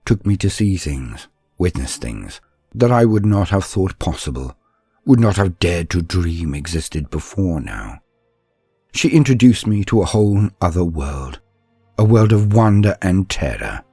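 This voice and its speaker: A man playing an earnest, reflective young psychic apprentice, telling his story in a scripted, dramatic and measured first-person narration. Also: low voice, big pitch swings, warm tone, husky, no echo, faint music behind, exaggerated voice. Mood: wistful.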